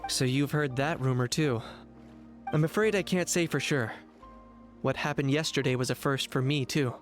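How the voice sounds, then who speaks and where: An actor playing a pragmatic, reserved young warrior in an anime video game, level-headed and matter-of-fact. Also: male voice, mid-range voice, moderate pace, soft background music, natural voice. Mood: reflective, serious.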